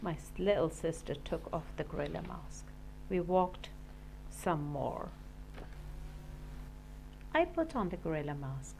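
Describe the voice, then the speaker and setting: A woman's voice: low-pitched, animated, measured pace, gravelly, long pauses, darkish tone, slight background noise, rough recording. A woman reading a children's story aloud expressively, like a class teacher.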